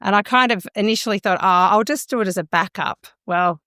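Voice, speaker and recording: Woman guest, smooth resonant voice, dry close sound, candid and relaxed. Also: medium pitch, brisk, no echo. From Australia. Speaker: guest, speech pathologist and singer. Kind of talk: casual interview answers.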